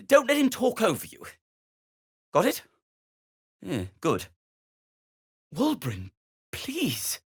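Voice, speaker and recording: An actor playing an earnest, fussy, melancholy gnome inventor in a video game, with a hesitant, wordy and old-fashioned polite way of talking. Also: male voice, very high-pitched, a bit bright, breathy edge, no room sound, studio quality, heavily acted. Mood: anxious, earnest, then pleading, troubled. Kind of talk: video game character dialogue.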